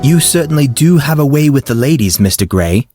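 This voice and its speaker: A man voicing a calm, wryly teasing male school staffer in an audiobook, unruffled and dryly amused. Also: fairly high pitch, brisk, faint music behind, voice pushed up.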